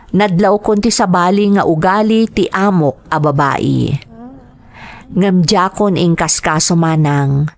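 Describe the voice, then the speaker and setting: A woman playing a meek, respectful young Filipina domestic helper in an audio drama, with an Ilocano accent. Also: lowish voice. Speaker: narrator and lead actor. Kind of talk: dramatized audio story, acted dialogue and first-person narration.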